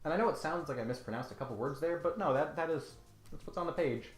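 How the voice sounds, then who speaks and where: A man with a high, forceful voice delivers a sarcastic scripted comedy critique. He is emphatic and mock-grandiose as host, and the room adds echo around him, with music behind. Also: fast talker.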